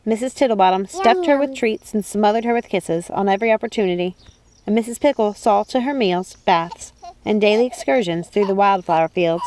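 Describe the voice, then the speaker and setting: A woman's voice: medium pitch, a little mellow, no echo. A woman reading scripted storybook narration as an audiobook narrator.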